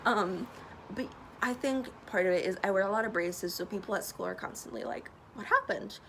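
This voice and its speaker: A young woman with a stuffy nose talks earnestly through a casual vlog, filling pauses with ums. Her voice carries a gravelly fry. She records outdoors, and clear traffic noise runs behind her. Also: mid-range voice, bright, forceful tone, rough recording. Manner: chatty, earnest, many fillers. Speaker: vlogger. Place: outdoors by a loud road. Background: passing traffic.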